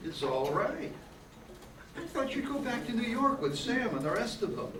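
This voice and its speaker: A man's voice: very high voice, sing-song, normal speed. An amateur actor playing George Bailey, a restless, stubborn young small-town man in an old-time radio drama. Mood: defensive, awkward. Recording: distant echoey room, noisy hiss.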